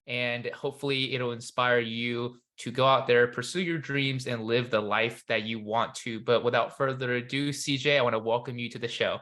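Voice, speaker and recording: A man's voice: mid-range voice, fairly even intonation, moderate pace, hardly any pauses, a bit bright. A young man hosting an interview podcast, introducing his guest in an upbeat, enthusiastic way. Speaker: podcast host. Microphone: studio condenser mic.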